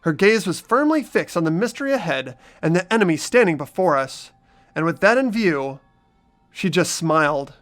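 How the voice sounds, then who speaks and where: A man's voice: fairly high pitch, sing-song, normal speed, a bit bright. A man voicing an earnest, anxious teenage schoolboy who narrates his own story in a visual novel game, expressively. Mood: reflective narration.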